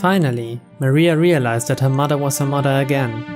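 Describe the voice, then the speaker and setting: A man's voice: medium pitch, brisk, over music. A man reading scripted story narration aloud from a visual novel as a let's player.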